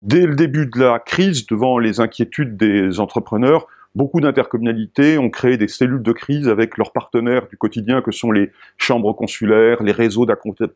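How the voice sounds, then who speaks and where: French male spokesperson, mid-range voice, informational delivery with halting, searching phrasing. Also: medium pitch, a little mellow. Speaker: expert spokesperson for local government association. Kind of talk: explanatory talk in an informational video.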